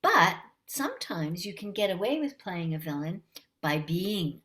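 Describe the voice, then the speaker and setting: A woman with a light voice, talking warmly and unhurriedly as a guest in a casual interview. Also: lowish voice, sing-song. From Canada. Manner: warm, friendly, unhurried. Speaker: voice actress guest.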